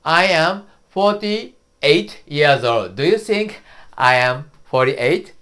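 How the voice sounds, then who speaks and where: A Japanese-accented man in his fifties, a native Japanese speaker, teaching a casual lesson from a small studio room. He talks slowly, drills words again and again, and his pitch swings up and down a lot. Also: lively intonation, bright, forceful tone. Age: 50s. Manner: slow, repetitive drilling with cheerful laughs. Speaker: YouTube host and teacher.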